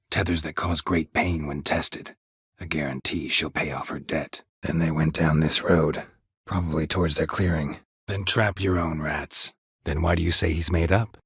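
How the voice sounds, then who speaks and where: An actor playing a stoic, world-weary monster hunter in a fantasy video game, gruff and gravelly, with a dry, deadpan, clipped delivery. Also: male voice, dry recording, exaggerated voice. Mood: stern. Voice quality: gravelly, husky low voice.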